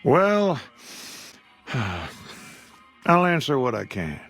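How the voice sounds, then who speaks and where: A gravelly old frontier shopkeeper. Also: male voice, on the high side, big pitch swings, extremely slow, a bit bright, soft background music, exaggerated voice. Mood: weary. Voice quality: gravelly drawl. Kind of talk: video game dialogue.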